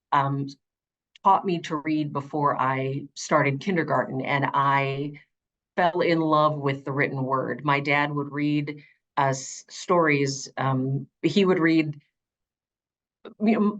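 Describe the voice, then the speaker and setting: Lower-voiced middle-aged woman, earnest and expressive. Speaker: audiobook narrator being interviewed. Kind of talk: conversational interview.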